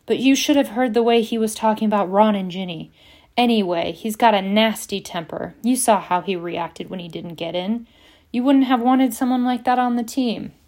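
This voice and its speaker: A woman's voice: medium pitch, moderate pace, darkish tone, pitched above the performer's own voice, natural voice. A woman voicing an earnest, clever teenage schoolgirl in an audiobook. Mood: defensive. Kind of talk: audiobook character reading.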